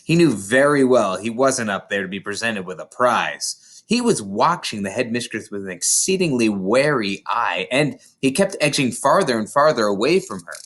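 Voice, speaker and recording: A man reads a children's story aloud. His voice sits at a medium pitch and moves through very lively, varied intonation. The delivery is expressive scripted narration. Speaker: storybook reader. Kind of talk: animated children's audiobook narration.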